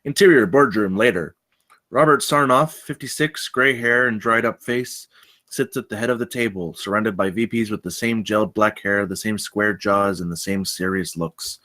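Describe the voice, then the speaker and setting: A man narrates a film script at a table read. His voice is on the low side. He reads out scene headings and stage directions as the narrator. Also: normal speed, pushed and bright.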